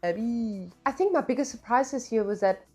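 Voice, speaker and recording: A woman's voice: fairly high pitch, a little fast, darkish tone, breathy edge, soft background music. A woman talking casually and candidly in a book review vlog, opinionated, slangy and emphatic.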